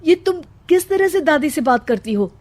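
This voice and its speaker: A woman's voice: high-pitched, very animated, normal speed, a little mellow. Woman voicing an earnest, caring young grandson. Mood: troubled, surprised. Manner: earnest, respectful.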